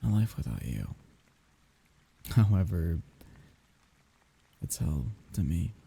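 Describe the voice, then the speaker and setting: Husky, dark-voiced grieving man acted. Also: sing-song, full character voice. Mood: aching, tender. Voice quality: husky, breathy, gravelly low voice. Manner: wry, tender, halting, half-murmured. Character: grieving man bidding farewell to dead lover. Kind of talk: intimate ASMR roleplay audio drama.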